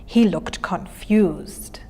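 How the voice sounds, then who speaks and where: A woman's voice: lowish voice, drawn-out words, dark tone, husky, in a whisper. A woman reading a children's storybook aloud. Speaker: story reader.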